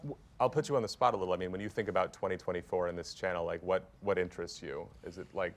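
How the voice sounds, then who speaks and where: A man with a very low, deep voice steers a live roundtable. He asks quick, friendly questions, laughing easily between them. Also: fairly even intonation. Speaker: panel moderator. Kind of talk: conversational panel moderation.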